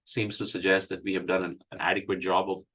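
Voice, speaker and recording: A man with a cold, hoarse, giving a halting technical presentation with many fillers. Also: sits low, little pitch movement, a bit bright. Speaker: engineering presenter. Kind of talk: technical presentation at an online meeting.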